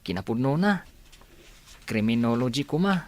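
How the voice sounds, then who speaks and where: A man's voice: medium pitch, big pitch swings, moderate pace, slight background noise, natural voice. A man playing a friendly young male college classmate in a radio drama. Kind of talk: radio drama acting in Ilocano.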